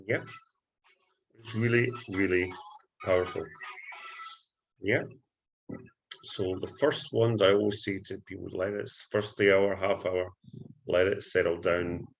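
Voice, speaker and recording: Hyped Scottish man, low voice. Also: slight background noise, low-quality recording. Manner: hyped salesman patter, keeps repeating "ladies and gentlemen". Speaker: forex trading instructor. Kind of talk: screen-share trading tutorial.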